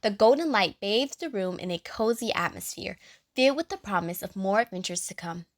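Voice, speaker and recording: A woman narrates a scripted story for children. Her voice sits at a medium pitch and moves with lively, expressive intonation. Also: fairly quick.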